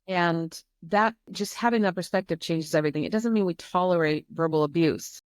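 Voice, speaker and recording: An earnest woman in her forties from Croatia speaks as a podcast guest in a fairly low voice. She tells a hard personal story with emphasis and repetition. The recording is dry and close, with no room around it. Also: moderate pace, dry recording.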